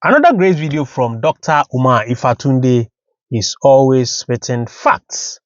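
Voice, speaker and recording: Dry dull recording, Ghanaian man, host commentary, slow pace, halting deliberate phrasing. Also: medium pitch, expressive, no room sound. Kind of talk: unscripted commentary.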